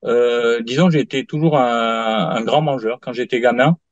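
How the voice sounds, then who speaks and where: A man in his late fifties giving a halting testimonial in an interview, full of fillers and restarts. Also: medium pitch, no echo. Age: 50s. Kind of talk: hesitant interview answers. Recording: dull lo-fi dry sound.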